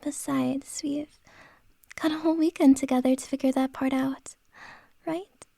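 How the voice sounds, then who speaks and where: A woman's voice: sits high, fairly even intonation, vocal fry, no echo. A woman playing a whispering, flustered lady boss. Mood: tender, flustered. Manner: soft whispering, hesitant and halting. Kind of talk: ASMR roleplay.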